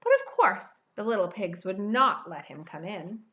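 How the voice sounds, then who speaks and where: Woman in her forties, children's storyteller, expressive, dramatic emphasis, slightly dull webcam sound. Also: medium pitch, moderate pace. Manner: dramatic emphasis, stretched words. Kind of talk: expressive storybook read-aloud. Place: indoor room at home.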